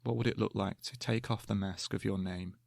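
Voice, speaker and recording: A man leads a guided meditation in a low, even voice with a noticeable creak at the edges. He speaks gently and calmly, placing each instruction carefully and letting pauses open up between phrases. The sound is dry and close, with very little room around it. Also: no room sound. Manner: calm, gentle, measured with long pauses.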